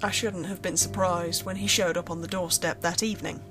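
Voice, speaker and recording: A woman's voice: on the low side, steady tone, brisk. A woman reading a scripted horror story as narrator, in first person. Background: music.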